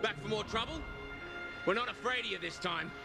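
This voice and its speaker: Creaky, high-pitched nervous young guard. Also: male voice, a little fast. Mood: nervous bravado. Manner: stammering, defensive. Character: nervous, careless young male guard putting on bravado. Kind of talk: scripted video game dialogue. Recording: loud game music behind dialogue.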